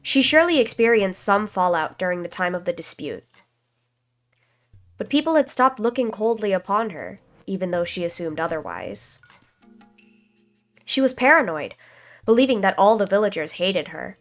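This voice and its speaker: A woman with a stuffy, slightly congested voice reads a visual novel aloud at a calm, even pace, with casual asides to her viewers. Her pitch sits in an ordinary middle range. Soft background music plays faintly behind her. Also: moderate pace, long pauses. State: stuffy nose, slight cough. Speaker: streamer.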